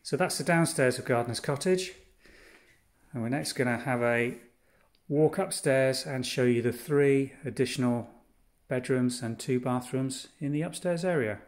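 A man with a mid-pitched voice walks through a cottage with a handheld camera, listing furniture and fittings one by one. His delivery is relaxed and itemizing, with pauses as he moves between rooms. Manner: unhurried, descriptive, pausing between items. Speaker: holiday cottage owner giving a tour. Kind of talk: guided walk-through house tour. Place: inside a cottage, walking room to room.

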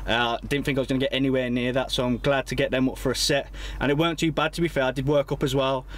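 A man's voice: mid-range voice, little pitch movement, fast talker. A young man, chatting casually to camera in a parked van, with plenty of ums and uhs. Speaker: fitness vlogger. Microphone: camera held at arm's length.

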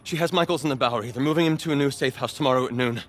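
A man's voice: mid-range voice, very fast, lightly acted. A man as a paranoid scared informant. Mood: confiding. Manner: jumpy and defensive. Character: frightened, paranoid middle-aged male informant.

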